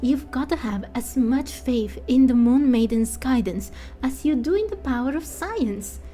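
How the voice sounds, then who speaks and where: A woman's voice: high voice, expressive, a little slow, dark tone, faint music behind. A woman voicing an overconfident young priestess in a fantasy game, emphatic and boastful but easily rattled. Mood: breezy, boastful.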